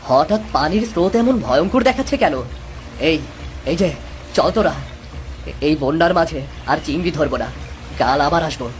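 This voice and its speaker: Woman voicing a lowish, cautious village father. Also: expressive, normal speed, pauses often, a little hiss or hum. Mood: concerned. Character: humble village fisherman father, cautious and caring. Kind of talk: cartoon voice acting.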